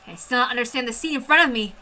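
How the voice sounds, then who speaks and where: A woman reading a first-person story aloud with an expressive, intense dramatic delivery. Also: on the high side, very fast, slight background noise. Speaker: amateur story reader.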